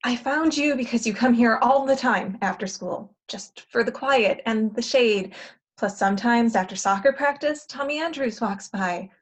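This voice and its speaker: A woman's voice: a little high, moderate pace, close to an everyday voice. A woman playing a jaded, insistent time traveler in a stage comedy, urgent and emphatic with a wry edge. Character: insistent, jaded older self from the future. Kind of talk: stage comedy acting in a table read.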